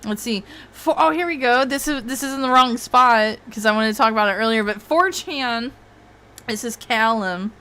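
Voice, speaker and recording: A woman's voice: fairly high pitch, normal speed, sharp tone, clear, resonant tone. A woman hosting a casual livestream, rambling and swearing freely, with frequent laughs. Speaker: streamer host.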